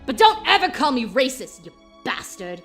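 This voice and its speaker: Actress as proud scared young woman, indignant, highish voice, clear music behind her. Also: very animated, normal speed. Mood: indignant, defiant. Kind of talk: dramatic comic dub acting.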